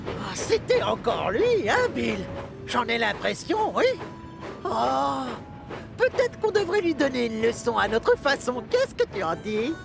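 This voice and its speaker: A woman voicing a mischievous young male tank engine, creaky and boyish, in a French-dubbed children's cartoon. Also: on the high side, big pitch swings, measured pace, music in the background, exaggerated voice. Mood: scheming. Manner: cheeky, conspiratorial, boyish.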